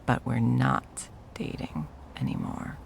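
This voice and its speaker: A woman playing a possessive, coldly menacing yet cloyingly sweet corporate ex-girlfriend in an intimate ASMR roleplay, taunting with drawn-out endearments and breathy whispers. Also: extremely low pitch, wildly swooping pitch, slight background noise, exaggerated voice. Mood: mocking, taunting.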